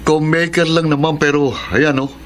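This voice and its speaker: A man playing a weary, concerned older brother in a radio drama, gently scolding. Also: on the high side, level tone, moderate pace, slight background noise, natural voice. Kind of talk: Filipino radio drama acting.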